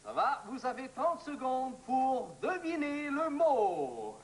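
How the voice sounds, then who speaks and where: A man's voice: very high-pitched, very animated, slow pace, a little hiss or hum, heavily acted. A man playing a chirpy, polite TV game show host with a Quebec French accent in a parody. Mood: upbeat.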